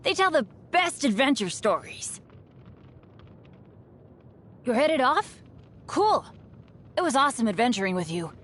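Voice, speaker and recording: Woman acting as an eager, high-voiced boy adventurer. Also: very animated, unhurried, pauses often, fairly bright tone, full character voice. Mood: cheerful. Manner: eager, boyish, earnest. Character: upbeat, unlucky teenage boy adventurer. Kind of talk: video game character voice lines. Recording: studio voice over background music.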